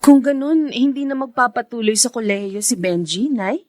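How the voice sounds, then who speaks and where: A woman's voice: on the high side, normal speed, darkish tone, no room sound, close to an everyday voice. A woman playing an earnest, devoted young married daughter in a Filipino radio drama. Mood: concerned.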